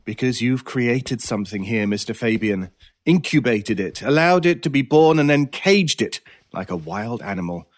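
An actor playing a cool, teasing, mysterious British stranger in a horror radio drama, with a measured, theatrical and wry way of talking. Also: male voice, medium pitch, animated, natural voice. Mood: ominous, persuasive. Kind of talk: old time radio style horror audio drama.

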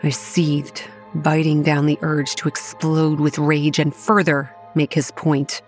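Low-voiced, defiant young narrator. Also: female voice, little pitch movement, slow pace, darkish tone, soft background music. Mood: tense narration. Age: young adult. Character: proud, combative young woman running a haunted campground. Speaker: actor and narrator in a horror audio drama. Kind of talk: first-person dramatic narration with dialogue.

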